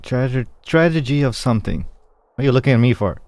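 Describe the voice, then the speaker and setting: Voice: mid-range voice, animated, a little fast, darkish tone, faint music behind. A video game streamer giving casual, grumbling playthrough commentary in an informal, muttering way.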